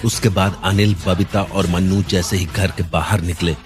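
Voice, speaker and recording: A man's voice: low voice, fairly even intonation, normal speed. A man narrating a cartoon horror story in a scripted, dramatic and suspenseful way. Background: faint background music.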